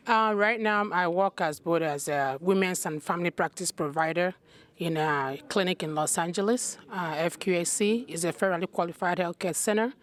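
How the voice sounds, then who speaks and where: A woman's voice: fairly low pitch, moderate pace. A woman from Nigeria with a Nigerian accent, answering questions as an interview guest. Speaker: interview guest, healthcare provider.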